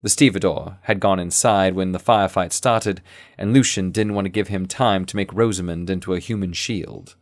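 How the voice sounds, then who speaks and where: Male narrator, low register, measured delivery of first-person fiction, very dry sound. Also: steady tone, no room sound.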